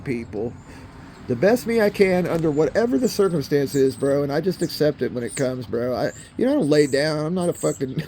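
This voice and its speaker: A man's voice: mid-range voice, dark tone, slight background noise. A man in his fifties rambling in a casual monologue, swearing often and calling the viewers bro and people. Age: 50s. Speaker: vlogger. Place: in a car.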